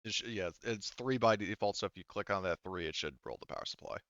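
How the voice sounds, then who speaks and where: A man running an online tabletop roleplaying game as game master, talking haltingly as he thinks aloud through the rules. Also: lowish voice, a bit bright, no room sound, dull audio.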